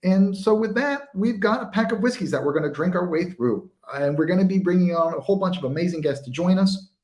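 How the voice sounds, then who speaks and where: A man with an American accent hosts a charity whisky tasting over a video call. His voice sits a little high, and his delivery is chatty and self-mocking. He sounds like someone in his thirties talking from home. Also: expressive, fairly quick, a little mellow. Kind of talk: casual livestream hosting.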